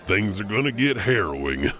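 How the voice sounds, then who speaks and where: A man's voice: fairly low pitch, sharp tone, slight background noise, heavily acted. A man playing a sardonic horror host, narrating scripted spooky legends in a theatrical, darkly humorous manner.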